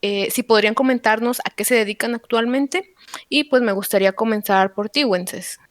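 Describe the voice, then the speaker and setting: A woman's voice: on the high side, normal speed, dry recording. A woman with a Mexican accent hosting a panel podcast in a semi-formal, somewhat hesitant way with frequent filler words. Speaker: podcast host.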